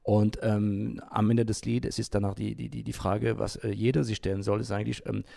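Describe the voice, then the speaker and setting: A man giving unscripted interview answers, haltingly, often pausing and searching for his words. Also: lowish voice, little pitch movement, vocal fry, dark tone, dry recording, studio quality. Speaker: interview guest, environmental group founder.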